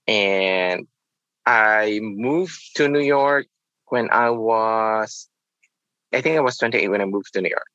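A man, talking in a reflective, halting way as a nurse being interviewed. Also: lowish voice, sharp tone, dry recording, dull audio. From Philippines. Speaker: nurse guest. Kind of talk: casual interview.

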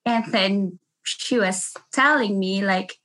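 A woman's voice: medium pitch, slow pace, a bit bright. A middle-aged woman with a Filipino accent, a nurse telling casual stories in an interview, chatty and laughing often. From Philippines. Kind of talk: casual storytelling.

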